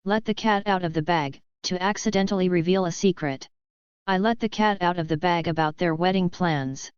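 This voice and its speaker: Clear low-voiced woman reading idioms. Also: normal speed, dry recording. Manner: reads each line plainly and repeats it. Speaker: English teacher reading idioms. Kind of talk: slow, measured reading of idioms and examples. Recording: dull, slightly lo-fi voice track.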